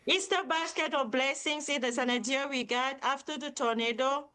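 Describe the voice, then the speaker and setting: A woman's voice: sits high, moderate pace, pushed and bright. A middle-aged woman with a Cameroonian accent, speaking enthusiastically as a charity founder in a live interview. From Cameroon, Central Africa. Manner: enthusiastic, upbeat. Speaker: nonprofit founder and CEO, interview guest.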